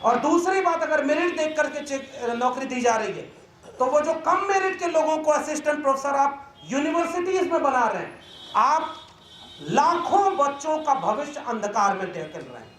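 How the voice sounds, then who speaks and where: A man's voice: very high voice, animated, normal speed, fairly bright tone. A man giving an emphatic, indignant, oratorical speech as a professor at a press conference. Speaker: university professor and activist speaker. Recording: echoey room with faint background noise.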